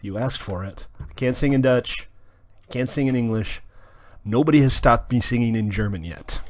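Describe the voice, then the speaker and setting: A man's voice: medium pitch, moderate pace. A man streaming a video game, chatting casually and reading chat aloud in a rambling, wry way full of fillers. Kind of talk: casual live-stream chat while playing.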